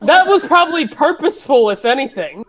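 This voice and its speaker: A woman with a fairly high voice. She talks casually among friends in a blunt, sarcastic way and curses now and then. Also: very animated, fairly quick, fairly bright tone. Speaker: show participant. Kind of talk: casual group chat.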